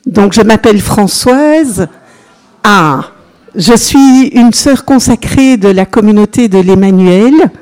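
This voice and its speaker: An older woman with a Belgian French accent, a religious sister giving an informal, chatty teaching to a seated group and often pausing to address them directly. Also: medium pitch, animated, a little mellow. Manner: chatty, unhurried, peppered with "voilà", engages listeners.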